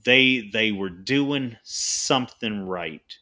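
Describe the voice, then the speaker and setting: Bright-voiced, emphatic male podcast host. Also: lowish voice, takes it slowly. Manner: emphatic, opinionated asides. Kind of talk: casual conversation.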